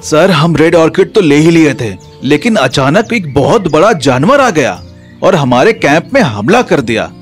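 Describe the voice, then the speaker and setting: A man's voice: fairly high pitch, big pitch swings, a little slow, soft background music. A man voicing an eager male scientist who explains things earnestly to his boss. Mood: anxious.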